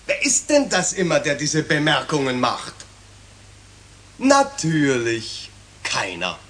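A man's voice: a little high, wildly swooping pitch, takes it slowly, fairly bright tone, heavily acted. An actor playing an exasperated, admonishing but patient male schoolteacher in a 1970s children's radio play.

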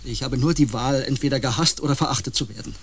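An actor playing a proud, hostile outcast empath in a radio drama, formal in wording, cutting and defiant in manner. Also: male voice, medium pitch, fast talker, gravelly, lightly acted. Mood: bitter, defiant.

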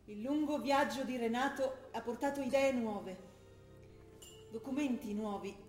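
Woman acting as earnest young revolutionary woman, fairly high voice, echoey stage with music behind. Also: unhurried, a little mellow, close to an everyday voice. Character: young militant woman writing letters, defiant daughter. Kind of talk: stage play broadcast on radio.

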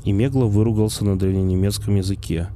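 A man's voice: on the low side, steady tone, quick pace, very noisy. A man narrating an audiobook in a wry first-person storytelling manner. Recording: faint music underneath.

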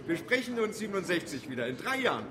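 A cocky bull-market speculator in a radio play. He argues in a high, lively, combative voice, dismissive of doubters. A crowd murmurs behind him. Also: quick pace. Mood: boisterous confidence. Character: cocky, optimistic male bull speculator set on winning. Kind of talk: German radio drama acting.

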